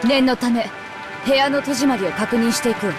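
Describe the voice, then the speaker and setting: A woman's voice: fairly high pitch, very fast, music in the background, lightly acted. A woman voicing a stern, suspicious aunt standing guard in a mystery game, curt and commanding. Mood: cautious.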